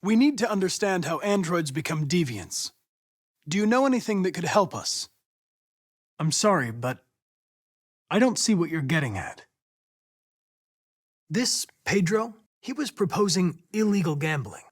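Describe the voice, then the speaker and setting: An earnest young male android detective for a video game. His voice sits high and moves expressively up and down. The diction is formal and exact, polite in temper, captured close and dry with no room sound. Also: sing-song, no echo, full character voice. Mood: formal, serious. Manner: precise, formal, measured diction. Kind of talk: scripted video game dialogue.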